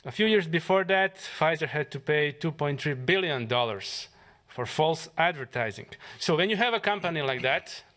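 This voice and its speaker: A man from Croatia with a Croatian accent, a member of parliament giving a press conference statement haltingly, with fillers and restarts. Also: a little high, expressive, a bit bright.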